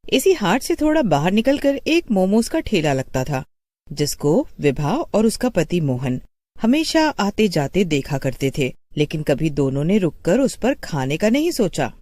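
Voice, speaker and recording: Mellow woman storyteller with lively intonation. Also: lowish voice, measured pace, dry recording. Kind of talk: scripted horror story narration.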